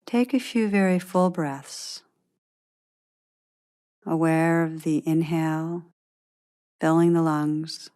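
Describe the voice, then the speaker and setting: A woman guiding a meditation in a gentle, unhurried, soothing manner, giving calm instructions with long pauses. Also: low voice, clear, resonant tone. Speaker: meditation teacher guiding a practice. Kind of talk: guided meditation, scripted instruction.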